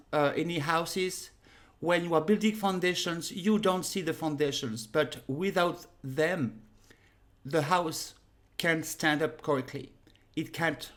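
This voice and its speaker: A woman in her thirties with a French accent, teaching a casual lesson to a webcam in a small room at home, unhurried and full of fillers. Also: low-pitched. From France. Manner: unhurried, pauses with many fillers, direct. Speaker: harmonica teacher. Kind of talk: casual spoken lesson to camera.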